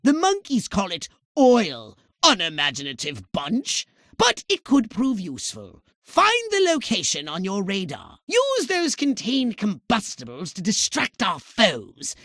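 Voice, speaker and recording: A man voicing a haughty, scheming little alien commander in a video game, with a shrill nasal voice and pompous, sardonic, wordy delivery. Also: huge pitch swings, measured pace, fairly bright tone, no room sound, studio quality, heavily acted. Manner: pompous, verbose, sardonic, exclaiming dramatically. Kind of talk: theatrical video game voice acting. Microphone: close, dry studio microphone.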